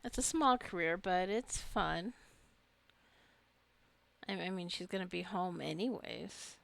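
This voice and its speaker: A woman's voice: on the low side, measured pace, creaky. A woman giving casual gameplay commentary, rambling and thinking aloud as she plays. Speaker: gamer narrating her own gameplay.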